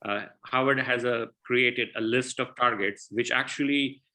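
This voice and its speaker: A man's voice: medium pitch, fairly even intonation, a little slow, sharp tone. A man from India with an Indian accent, a guest researcher answering questions earnestly and often restarting his sentences. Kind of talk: explaining science in a Q&A.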